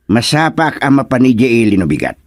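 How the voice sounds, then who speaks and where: An actor with an Ilocano accent playing a worried village husband who talks in a fretful way. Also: male voice, medium pitch, sing-song, normal speed, no echo, clean studio sound. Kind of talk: radio drama acting.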